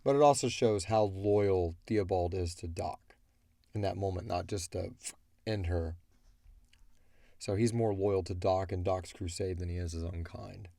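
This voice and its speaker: A man with a stuffy, congested voice chatting casually and low-key as a host answering fan questions. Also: fairly even intonation, unhurried, vocal fry, warm tone, dry recording, studio quality. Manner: low-key, unenthusiastic-sounding. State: congested from a cold. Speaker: host of an audio drama Q&A show.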